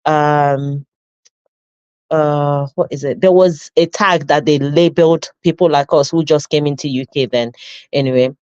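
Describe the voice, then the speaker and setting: A woman's voice: sits low, steady tone, a little slow, darkish tone, no room sound. Nigerian-accented woman, dull dry sound. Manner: reflective, with frequent fillers and self-corrections. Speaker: guest, custody nurse. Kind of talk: conversational life story interview.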